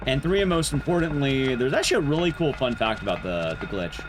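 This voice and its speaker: A man's voice: mid-range voice, animated, fast talker, background noise. A man speedrunning a game and giving casual commentary, explaining things in a rambling, playful way. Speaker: speedrunner, streamer. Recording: game music behind the voice.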